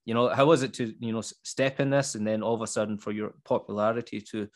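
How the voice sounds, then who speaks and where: A man's voice: lowish voice, fairly even intonation, fast talker. A man in his thirties hosting a podcast, asking casual interview questions into a headset microphone in a home studio. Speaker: podcast host. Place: home studio with shelves, indoors. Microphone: headset with boom mic.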